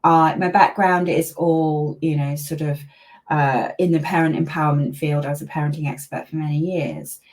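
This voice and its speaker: Low-voiced grandmother chatting in an interview. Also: fairly even intonation, normal speed, poor audio. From Malaysia. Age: older. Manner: chatty, warm laughs, many fillers. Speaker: parenting coach, interview guest. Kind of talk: casual interview over a video call.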